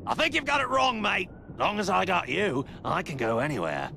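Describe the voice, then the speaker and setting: Gravelly, swaggering werewolf villain. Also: male voice, high voice, big pitch swings, normal speed, bright, forceful tone, slight background noise, exaggerated voice. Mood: cocky. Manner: swaggering, sarcastic. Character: cocky, sneering undead werewolf rocker, big male villain. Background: game soundtrack music.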